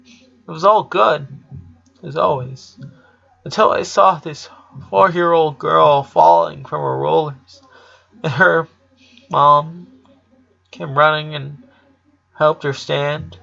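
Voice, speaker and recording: Man voicing a grieving young girl, halting and sorrowful. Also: fairly high pitch, fairly even intonation, gravelly, bright, forceful tone, pitched above the performer's own voice, heavily acted. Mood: halting, choked up. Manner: halting, repeating words as if choked up. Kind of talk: storybook character reading. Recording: dull lo-fi sound.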